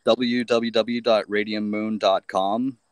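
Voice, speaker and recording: A man in a band, a guest on a podcast, answering in a relaxed, laid-back way. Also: on the low side, slow, clean tone, no room sound. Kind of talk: casual interview chat.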